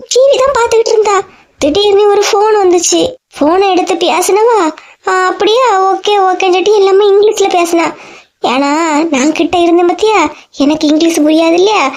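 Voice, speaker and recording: A woman's voice: very high-pitched, a little mellow. A woman voicing an aggrieved, gossiping mother-in-law in a comic cartoon, complaining dramatically with a Kanyakumari Tamil accent.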